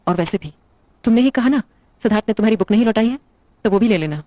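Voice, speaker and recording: A woman's voice: medium pitch, lively intonation, fast, warm tone, no echo, clean studio sound, close to an everyday voice. A woman playing a blunt, controlling widowed wife in an audio drama, speaking in a curt, sardonic, interrogating way. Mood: commanding, cold. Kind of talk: Hindi audio drama acting.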